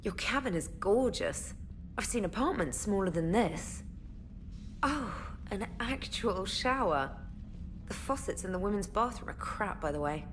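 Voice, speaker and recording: A woman's voice: medium pitch, animated, unhurried, slight background noise, lightly acted. A woman playing a witty, cheeky young starship communications officer in a video game, with a playful, teasing manner.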